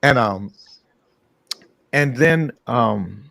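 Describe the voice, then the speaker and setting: A man hosting a morning talk show, telling a long, profane anecdote in a casual, animated way. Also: mid-range voice, drawn-out words, gravelly, no room sound. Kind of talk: casual storytelling.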